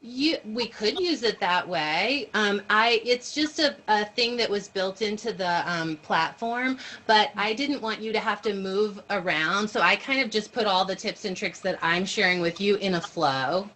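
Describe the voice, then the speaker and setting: A woman's voice: medium pitch, lively intonation, a little slow, hardly any pauses, pushed and bright. A woman leading an informal online training for teachers, explaining step by step and checking in with her listeners over a video call. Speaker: workshop leader training teachers. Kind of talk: informal online training presentation with questions and answers. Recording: noisy video-call audio.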